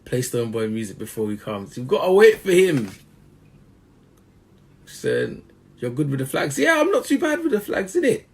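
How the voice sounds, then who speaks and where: A man's voice: on the high side, huge pitch swings, normal speed, pauses often, slightly rough. A man hosting a live-stream interview, chatty and hyping up his audience. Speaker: interview host.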